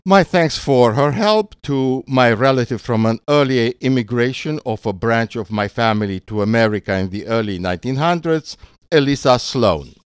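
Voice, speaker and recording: Older Romanian-accented man, smooth and dry. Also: medium pitch, expressive, moderate pace, no echo. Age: 60s or older. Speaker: podcast host. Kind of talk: scripted narration.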